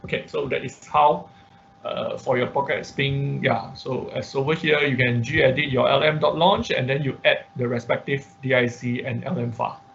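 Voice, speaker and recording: A man's voice: medium pitch, fairly even intonation, vocal fry, fairly bright tone, a little hiss or hum. Man teaching online, dull muffled audio. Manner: halting, repetitive explaining with frequent tag questions. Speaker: instructor of an online robotics class. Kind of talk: informal live tutorial with screen demonstration. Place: online class call.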